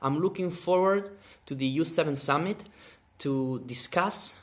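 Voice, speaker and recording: A man's voice: fairly high pitch, a little slow. A man with an Italian accent, giving a casual self-introduction as a researcher, with some hesitations. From Italy.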